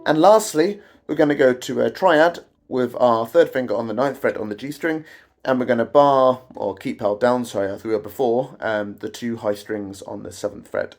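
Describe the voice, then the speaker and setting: Croaky-voiced man teaching guitar. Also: mid-range voice, moderate pace. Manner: chatty, self-deprecating, wry asides. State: croaky voice from a cold. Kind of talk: casual guitar tutorial. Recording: guitar playing behind the voice.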